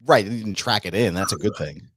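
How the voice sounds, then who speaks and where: A man turning forty who hosts a casual show, upbeat and quick to laugh. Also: on the low side, expressive, a bit bright, husky, no echo, clean studio sound. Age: 30s. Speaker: host. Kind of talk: casual chat.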